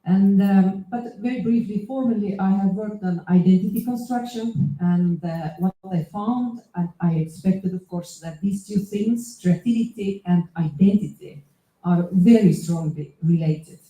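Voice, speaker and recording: A woman from Estonia, an associate professor giving a conference talk in English, pausing often with fillers and restarts. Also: mid-range voice, warm tone. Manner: hesitant, many fillers and restarts. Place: lecture hall. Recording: echoey hall.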